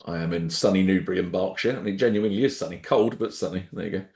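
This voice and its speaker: A man with a head cold and a nasal voice, hosting a webinar on a video call in a relaxed, rambling way. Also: low-pitched, fast talker, roomy sound. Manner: relaxed, rambling, frequent ums and restarts. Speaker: webinar host, startup founder. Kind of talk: casual webinar presentation.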